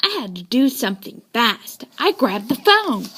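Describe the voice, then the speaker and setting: A woman reading a children's story aloud with dramatic, deliberate emphasis, telling it in a child's first person. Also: fairly high pitch, big pitch swings, bright, forceful tone, breathy edge. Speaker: storybook reader.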